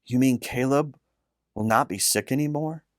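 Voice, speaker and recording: Man reading as a soft, wistful little girl. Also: medium pitch, normal speed, dry recording, clean studio sound, lightly acted. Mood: hushed, hopeful. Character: young girl Leah, wistful and questioning. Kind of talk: storybook dialogue.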